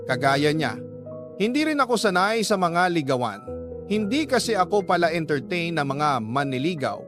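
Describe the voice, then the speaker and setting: A young Filipino woman tells her own love story for radio drama. She is conversational and confiding, reserved in character, with clear music under her voice. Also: fairly low pitch, very animated, normal speed. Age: young adult. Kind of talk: first-person radio drama narration.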